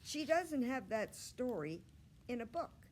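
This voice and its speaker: A woman's voice: on the high side, sing-song, slow, slight background noise, voice pushed up. A woman reading aloud as a patient, pleasant female primary school teacher, putting questions to a class in an instructive way. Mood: pleasant, prompting.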